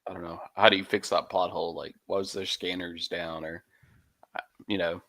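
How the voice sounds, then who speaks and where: A man's voice: low voice, little pitch movement, normal speed, pushed and bright. A man chatting casually on a podcast in a laid-back way, trailing off and laughing often. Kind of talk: casual chat.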